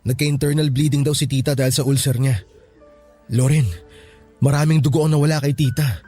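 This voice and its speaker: An actor playing a calm, reassuring young male hospital worker in a radio drama, speaking soothingly and earnestly. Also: mid-range voice, a little fast, husky, faint music behind, close to an everyday voice. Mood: calm, serious.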